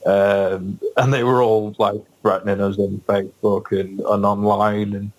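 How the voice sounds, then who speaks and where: A man's voice: fairly low pitch, little pitch movement, unhurried, low-quality recording. A man, a band's guest on a podcast, telling a story hesitantly with many ums and frequent laughs. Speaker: guest musician in a band. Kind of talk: casual podcast interview storytelling.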